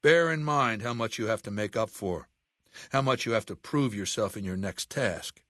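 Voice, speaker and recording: A man's voice: lowish voice, normal speed, fairly bright tone, no room sound, studio quality, close to an everyday voice. An older man playing an imperious, ruthless warlord in a video game, speaking with cold, commanding, formal diction. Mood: stern, reproving. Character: imperious, ruthless ageing male warlord commanding a slaver legion. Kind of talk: video game character acting.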